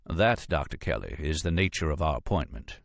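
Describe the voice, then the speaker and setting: An audiobook character performed by a male narrator: a courteous male federal agent with a deep, creamy drawl. He is formal, unhurried and drily witty, sure of himself in every phrase. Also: animated, vocal fry, no room sound, heavily acted. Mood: confident, persuasive.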